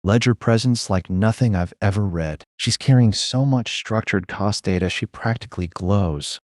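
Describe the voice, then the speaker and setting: A man voicing a tense, protective male ghost in an audio drama, with a low, tight voice. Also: normal speed, no echo, clean studio sound, lightly acted. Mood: tense, wary.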